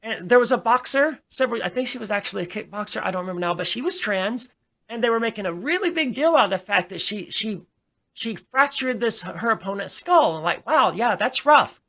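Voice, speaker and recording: A woman's voice: on the high side, animated, a little fast, pushed and bright. Emphatic woman in casual discussion. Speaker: podcast host.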